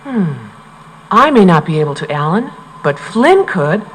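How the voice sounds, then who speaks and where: An actress playing a helpful young laser scientist, explaining things on a storybook cassette. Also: female voice, fairly low pitch, sing-song, a little slow. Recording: faint noise, old cassette transfer.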